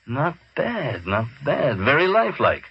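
A man's voice: medium pitch, huge pitch swings, measured pace, creaky, husky, full character voice. An actor playing a harried, grumbling salesman in an old-time radio drama, with a blustery, muttering, conversational manner. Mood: curious, skeptical. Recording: dull, lo-fi old radio recording.

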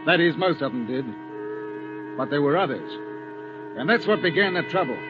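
An actor plays an upright sea captain recalling a hard voyage in a measured, grave tone. His voice sits a little high. It is a muffled old radio recording with music playing underneath. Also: animated, close to an everyday voice. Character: weary sea captain, middle-aged man, upright. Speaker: narrator and ship's captain. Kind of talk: 1950s radio drama narration.

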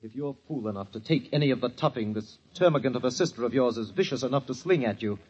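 A man's voice: mid-range voice, fast talker, darkish tone, husky, natural voice. An actor playing a blunt husband who firmly stands up for his wife in a family quarrel, in an old radio play. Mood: indignant. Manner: firm, indignant. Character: blunt, protective husband Peter. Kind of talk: 1940s radio acting. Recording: dull old radio recording.

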